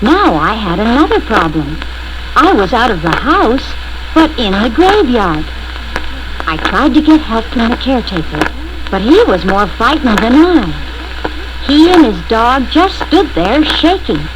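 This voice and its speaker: A woman reads a spooky children's story as a first-person narrator. Her voice is fairly high with very animated intonation, delivered slowly and deliberately. The old vinyl record adds clear crackle, scratches and pops.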